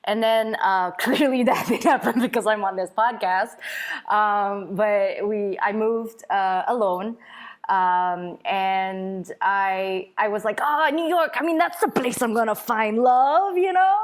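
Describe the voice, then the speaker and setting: Halting, laughing woman from Singapore. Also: mid-range voice. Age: 30s. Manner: rambling, halting, laughs often. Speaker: guest. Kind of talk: casual chat.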